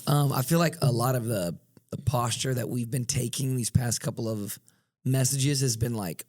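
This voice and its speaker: Slightly raspy podcast host. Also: male voice, mid-range voice, moderate pace. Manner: relaxed, joking, affirming. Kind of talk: casual podcast chat.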